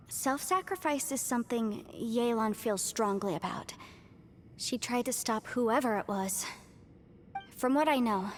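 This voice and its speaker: A woman's voice: on the high side, slight background noise, natural voice. A woman playing a chatty young legal adviser in a video game, earnest and playful, with articulate, lawyerly wording. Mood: earnest, reflective.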